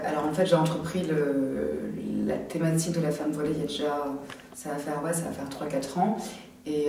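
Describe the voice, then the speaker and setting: A young woman from France, an art student, answering interview questions casually and haltingly, often pausing to think. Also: low-pitched, warm tone. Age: young adult. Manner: halting, thinking aloud with many pauses. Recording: very echoey, distant from the mic, faint noise.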